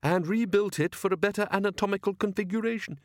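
A man voicing an eager, courteous, chatty gentleman inventor, cheerfully oblivious, in an audiobook story. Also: sits high, quick pace, no echo, clean studio sound, voice pushed up, close to an everyday voice. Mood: eager, polite.

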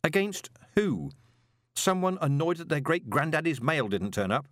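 A man voicing an irritable, quick-witted swindler in an audiobook, with a sarcastic, demanding manner. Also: fairly high pitch, sing-song, fairly bright tone, no echo, clean studio sound, pitched above the performer's own voice. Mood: sarcastic, exasperated.

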